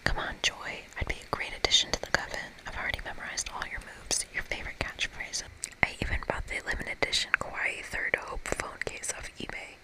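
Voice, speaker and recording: An ASMR reader voicing an overeager, intense female game monster in a breathy whisper. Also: a little fast, lightly acted, low-quality recording. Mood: pleading, intense. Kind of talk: visual novel game dialogue read as ASMR.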